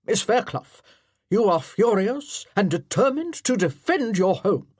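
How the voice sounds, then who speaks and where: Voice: medium pitch, sing-song, unhurried, no room sound, studio quality, voice pushed up. Narrator barking as a commanding old colonel. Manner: loud barked orders. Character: commanding older male colonel, drill-sergeant bark.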